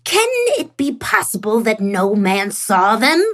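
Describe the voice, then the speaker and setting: A woman's voice: mid-range voice, lively intonation, measured pace, a bit bright, faint music behind. A woman playing an angry, commanding duke in a Shakespearean radio play, speaking formally and imperiously. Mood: angry, impatient. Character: angry, commanding usurping duke, stern ruler.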